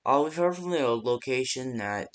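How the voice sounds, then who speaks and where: A man's voice: medium pitch, expressive, normal speed. A man giving casual, improvised phone-call voice lines for a game, hesitant and rambling with many ums. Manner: casual, unpolished delivery. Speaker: phone-call guide in a fan horror game.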